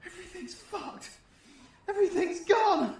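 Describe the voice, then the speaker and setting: A man's voice: very high voice, a little slow, heavy reverb, recorded from a distance, noisy background. A British man playing a foul-mouthed, vengeful, defiant supervillain fighter in a dramatic audio roleplay, swearing with raw emotion. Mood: pained, frightened.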